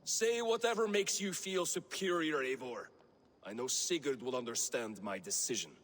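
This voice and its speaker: An actor playing a proud, resentful Viking raider in a video game, sneering and sarcastic. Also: male voice, medium pitch, lively intonation, moderate pace, a bit bright, big-room sound. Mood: scornful.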